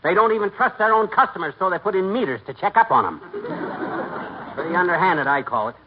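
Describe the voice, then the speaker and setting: An actor playing a boastful, stubborn middle-aged American husband in a 1940s radio comedy, with a bombastic, folksy manner. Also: male voice, high voice, lively intonation, a little fast. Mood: indignant boasting. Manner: bombastic, folksy, indignant bragging. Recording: dull, noisy 1940s radio recording.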